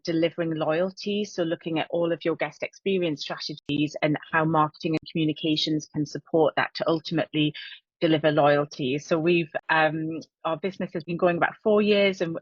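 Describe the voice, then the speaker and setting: A woman's voice: deep voice, steady tone, moderate pace, husky, dry recording, dull audio. A middle-aged woman co-hosting a webinar, talking with many fillers and repeated words in a friendly way. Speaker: webinar co-host, hospitality marketing consultant. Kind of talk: webinar presentation.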